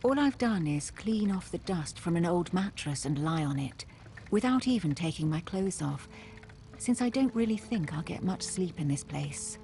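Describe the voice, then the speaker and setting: A woman playing an uneasy, introspective therapist in a brooding, measured video game monologue. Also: fairly low pitch, animated, mellow, slight background noise.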